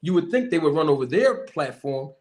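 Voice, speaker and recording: Man, boxing manager hosting, slightly high voice, forceful, repetitive emphasis, slangy casual talk. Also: big pitch swings, a little fast, slight background noise. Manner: casual slang-heavy speech. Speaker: boxing manager and channel host.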